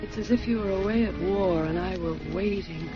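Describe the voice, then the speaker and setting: A woman's voice: mid-range voice, normal speed, close to an everyday voice. An actress playing an estranged wife who reads her letter tenderly and wistfully. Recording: dull lo-fi with music behind.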